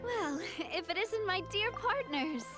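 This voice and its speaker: A woman's voice: very high-pitched, expressive, takes it slowly, over loud music, exaggerated voice. A woman voicing a cheerful, confident young woman leader in a video game. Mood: bright, playful.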